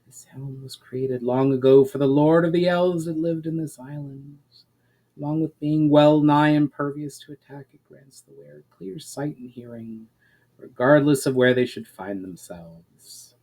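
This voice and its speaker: A man's voice: on the high side, warm tone, low-quality recording. Guy reading as a lonely solemn water spirit, formal old fashioned wording, storybook fantasy. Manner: formal, measured, archaic phrasing. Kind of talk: amateur dramatic storybook reading.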